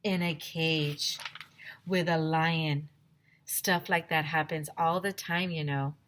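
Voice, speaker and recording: A woman voicing a sharp-tongued schoolgirl who speaks with dramatic, conspiratorial suggestion in a storybook. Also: sits low, steady tone, slow pace, a little hiss or hum.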